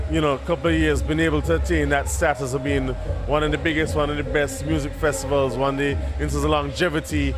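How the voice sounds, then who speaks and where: A man answering interview questions as an event chairman, halting and repeating his words. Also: fairly high pitch. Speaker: festival committee chairman being interviewed. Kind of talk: spontaneous on-location interview. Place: at a music festival at night. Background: live concert music.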